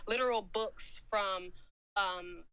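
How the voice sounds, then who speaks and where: A woman's voice: medium pitch, slow, sharp tone, clean tone, low-quality recording. A woman in her twenties from Georgia in the United States, chatting in a rambling, slangy way in a live chat room. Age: 20s. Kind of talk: casual chat.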